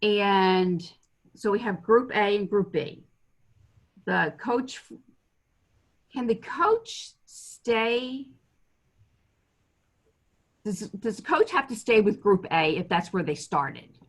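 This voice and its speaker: A woman asks questions on a webinar call. She pauses often and presses for details, with frequent fillers. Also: medium pitch, big pitch swings. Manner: hesitant, probing questioner.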